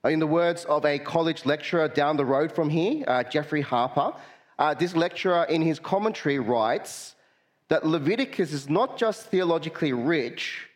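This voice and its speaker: A young man, a guest preacher with a cold that makes him slightly nasal, giving a friendly sermon full of filler uhs. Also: fairly high pitch.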